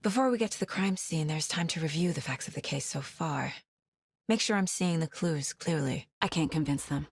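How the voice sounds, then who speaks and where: A determined, level-headed female federal agent in a horror game. Her voice is husky and on the low side, recorded close and dry. She sounds serious and focused, as if thinking through a case. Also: animated, normal speed, no echo, exaggerated voice. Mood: serious, questioning. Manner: focused, serious, often musing to herself. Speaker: video game voice actress. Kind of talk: dramatic video game voice lines.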